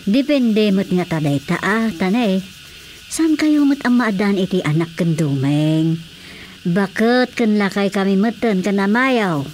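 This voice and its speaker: A woman's voice: mid-range voice, animated, a little slow, darkish tone, a little hiss or hum, close to an everyday voice. A woman playing a frank older village woman in an Ilocano radio drama comedy, with an Ilocano accent. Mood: frank, chiding.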